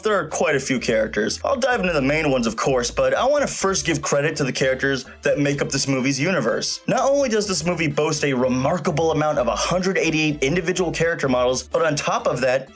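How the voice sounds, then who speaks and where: Higher-pitched man reviewing over music. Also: fairly bright tone. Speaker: video reviewer and host. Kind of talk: opinionated scripted review narration. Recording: music playing behind the voice. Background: background music.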